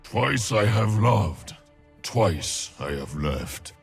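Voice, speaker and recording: An actor playing a weary, solemn shaman warrior from a frozen wilderness in a video game, speaking in a gravelly voice with slow, weighty delivery. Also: fairly low pitch, sing-song, faint music behind, exaggerated voice. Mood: reflective. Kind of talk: video game character voice lines.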